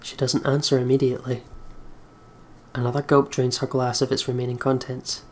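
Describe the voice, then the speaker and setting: A man's voice: mid-range voice, level tone, moderate pace, slight background noise. A man reading visual novel narration aloud as a let's player.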